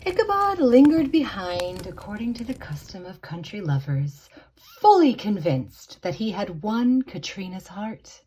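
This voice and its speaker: Slow, theatrical woman storyteller with animated pitch. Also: slightly rough, low-quality recording. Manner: theatrical, suspenseful, with deliberate dramatic pauses. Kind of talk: dramatic scripted storybook narration.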